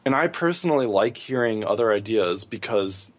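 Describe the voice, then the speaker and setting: A man's voice: fairly low pitch, expressive, moderate pace. A man co-hosting a gaming podcast, talking casually and giving his opinions freely. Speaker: podcast co-host.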